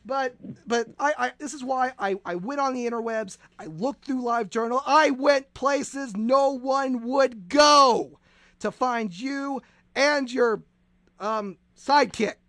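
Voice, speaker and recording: High-voiced, excitable male radio host. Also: slow, no echo. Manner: excitable, stammering restarts, shouts. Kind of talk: comedic radio talk.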